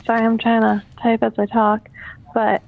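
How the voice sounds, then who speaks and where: A woman's voice: on the high side, completely flat delivery, normal speed, vocal fry, no echo. A woman working in customer service, speaking politely and hesitantly over the telephone in an apologetic way. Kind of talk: customer service phone call. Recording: muffled, band-limited phone line.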